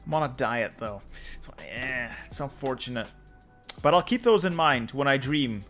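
A man's voice: medium pitch, lively intonation, normal speed, fairly bright tone. A young man from Flanders whose first language is Dutch, giving casual, offhand commentary while playing a strategy game and chatting with viewers. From Flanders, Belgium. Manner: muttering to himself while playing, dry and offhand. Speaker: game streamer. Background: faint background music.

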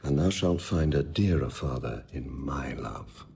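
An actor playing a cold, vengeful duke and villain in a Shakespearean audio drama, deliberate and menacing. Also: male voice, rumbling low, expressive, vocal fry, a little mellow, slight background noise, full character voice.

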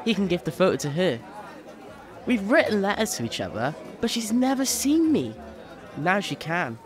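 Bantering young chimney sweep, enterprising, animated pitch, audio drama, faint crowd behind. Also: male voice, moderate pace, faint music behind.

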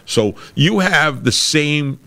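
A middle-aged man with a very deep voice, chatting casually as a podcast host over a video call. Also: expressive, slow, bright, forceful tone. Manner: chatty, with restarts and laughs. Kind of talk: casual interview chat. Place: room with a brick wall.

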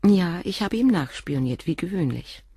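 An actress in a German crime radio play as a guarded, protective professor's wife, speaking in a measured, formal, deliberate way. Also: female voice, on the low side, expressive, mellow, husky, no echo, clean studio sound. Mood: controlled, defensive.

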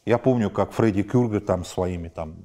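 A low-pitched man in his forties talks through an interview in a modern apartment. He piles up examples and pushes his emphasis higher as the list goes on. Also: moderate pace. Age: 40s. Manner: animated, lists examples with rising emphasis. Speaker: film director. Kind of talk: interview answers. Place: high-rise apartment at night, indoors.

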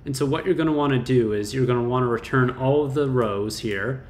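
A man's voice: mid-range voice, fairly quick, a little mellow. A young man casually walking through a coding problem, thinking aloud with fillers and small self-corrections as he types. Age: young adult. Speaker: coding tutor. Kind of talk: casual screen-share coding walkthrough. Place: small indoor room. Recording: roomy echo, faint keyboard noise.

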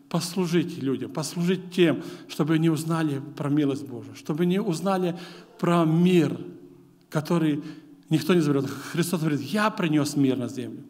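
Ukrainian-accented preacher in a reverberant church. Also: male voice, a little high. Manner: animated, gesturing, voice rising and falling. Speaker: guest preacher. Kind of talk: sermon with personal stories. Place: large church hall with congregation. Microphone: lectern mic.

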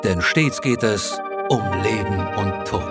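A man acting as the narrator of a tongue-in-cheek space audio drama. He delivers scripted teaser lines with mock drama, over clearly audible music. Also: lowish voice, unhurried, natural voice. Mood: mock-dramatic.

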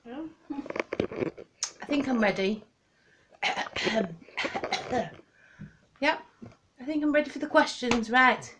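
A woman's voice: on the high side, slow pace, long pauses. A middle-aged woman joking and giggling on a webcam in a small room at home. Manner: playful, giggly. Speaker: vlogger's wife. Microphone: webcam at desk. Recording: noisy home webcam audio.

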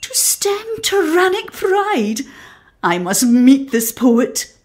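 Imperious, high-voiced proud lady. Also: big pitch swings, a little hiss or hum, exaggerated voice. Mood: moved, excited. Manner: imperious, declamatory, deliberate. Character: strong-minded, proud, aristocratic middle-aged Scottish widow. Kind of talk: radio drama acting.

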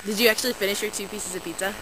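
A woman's voice: mid-range voice, steady tone, racing, a bit bright. A young woman chatting casually with friends in a playful, slangy, teasing way. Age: young adult. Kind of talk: casual chat among friends. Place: outdoor park by a pond, windy and cold. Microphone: handheld camera at arm's length. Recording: noisy outdoor handheld camera audio.

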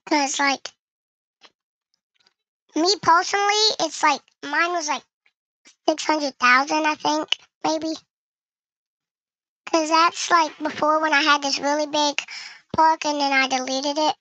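High bright kid-like voice thru a voice changer, chatty gamer talking to viewers while playing. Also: steady tone, slow, pauses often, lo-fi sound. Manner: chatty, addresses viewers, thinks aloud. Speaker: gaming video creator. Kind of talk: casual game commentary while playing.

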